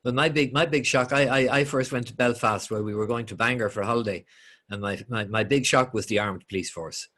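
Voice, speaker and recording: A man's voice: mid-range voice, a bit bright. A middle-aged Irish man interviewing an author in a friendly way, often stumbling over repeated words. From Ireland. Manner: halting, repeats words as he starts.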